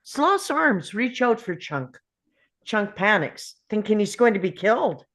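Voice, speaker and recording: A woman's voice: mid-range voice, very animated, a little slow, a bit bright. A woman reading screenplay stage directions as scripted narration at a table read.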